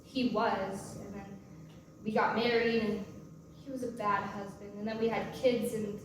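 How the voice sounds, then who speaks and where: A woman playing a guarded, sardonic young divorced mother in a staged reading of a play, with a halting, wry delivery. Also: medium pitch, flat delivery, natural voice. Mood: hesitant, regretful. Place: large echoey room, recorded from far off. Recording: distant, very echoey room with faint noise.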